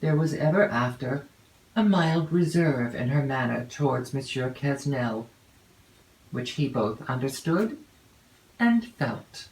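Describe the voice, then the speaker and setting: A woman reading a classic novel as an audiobook narrator, in a measured, formal way with deliberate phrasing. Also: rumbling low, expressive, darkish tone. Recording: roomy, echoey home recording.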